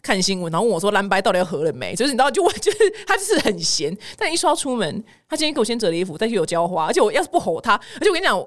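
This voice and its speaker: A young woman with a Taiwanese Mandarin accent chats casually as a podcast host, telling stories in an animated, exclaiming way and acting out dialogue. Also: mid-range voice, racing, hardly any pauses. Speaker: podcast host of a weekly news show. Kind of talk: casual chatty storytelling.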